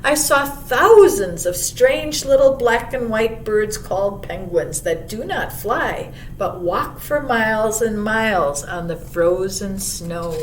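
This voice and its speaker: A woman voicing a gentle, wise old male monarch butterfly in a storybook, telling a child of travels with unhurried wonder. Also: animated. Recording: roomy, distant mic, faint rumbling noise.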